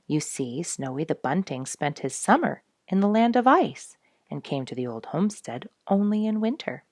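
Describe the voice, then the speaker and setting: A woman voicing a mild, sensible father bluebird in a children's storybook, speaking in a measured, earnest way. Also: deep voice, big pitch swings, a little mellow, no room sound, clean studio sound. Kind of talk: children's storybook character reading.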